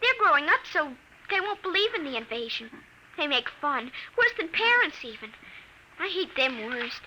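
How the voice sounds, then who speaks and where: A woman playing an excitable, earnest little girl in a 1950s radio drama, speaking with breathless, childlike insistence. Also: sits high, moderate pace. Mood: earnest, defiant. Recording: noisy vintage 1950 radio recording.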